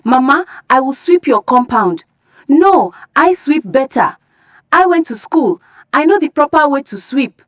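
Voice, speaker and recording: A woman's voice: sits high, big pitch swings, unhurried, dry recording, clean studio sound, pitched above the performer's own voice. A woman voicing eager, boastful young village women competing to help in a folktale. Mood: eager, pushy. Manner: pushy, boastful.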